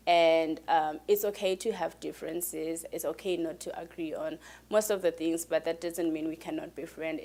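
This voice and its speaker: Woman student, deep for her sex, earnest and measured, interview answers. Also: darkish tone.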